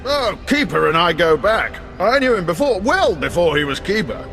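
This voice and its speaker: A joking, talkative spy chief speaks in a lively, fairly high voice that rises and falls freely. Faint music plays under this game scene. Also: male voice, normal speed, vocal fry, long reverb tail, full character voice. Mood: wry. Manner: chatty, wry, joking. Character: wry older male spy station chief.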